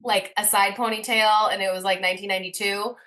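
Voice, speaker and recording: A woman's voice: mid-range voice, fairly even intonation, fast, a bit bright. A nurse in her thirties as a podcast guest, telling stories playfully and laughing along. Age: 30s. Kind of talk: casual conversational interview.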